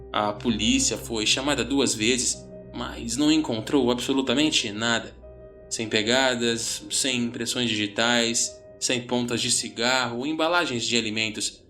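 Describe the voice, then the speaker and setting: A man's voice: medium pitch, fairly bright tone. A man narrating a scripted first-person horror story in a dramatic storytelling style. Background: background music.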